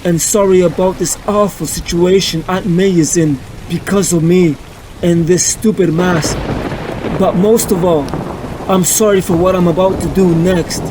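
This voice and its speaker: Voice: mid-range voice, background noise, pitched below the performer's own voice, natural voice. A performer voicing a young male superhero who is earnest, apologetic and set on a hard choice, in a fan dub of a motion comic. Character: young male superhero, guilt-ridden and determined.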